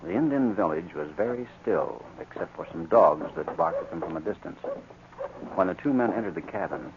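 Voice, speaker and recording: A man narrating a scripted 1940s radio adventure in a measured storytelling manner. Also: low voice, expressive. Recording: muffled, noisy 1940s radio recording.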